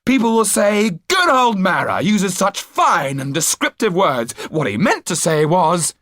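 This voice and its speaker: A man's voice: high-pitched, big pitch swings, a little slow, a bit bright, no echo, studio quality, pitched above the performer's own voice, exaggerated voice. A man voicing an earnest, defiant young idealist in a storybook, speaking urgently and directly. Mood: mocking.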